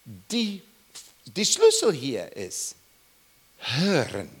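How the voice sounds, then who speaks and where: Emphatic preacher man, swooping pitch. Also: wildly swooping pitch, drawn-out words, husky. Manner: emphatic, rising and falling sharply, repeats questions to listeners. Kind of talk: Bible teaching sermon.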